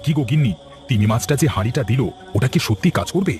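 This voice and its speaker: Man playing a fast-talking cartoon villager. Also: mid-range voice, faint music behind, close to an everyday voice. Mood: curious, amazed. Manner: expressive, exclamatory. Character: village men: practical farmer husband, frightened neighbours. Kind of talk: cartoon voice acting.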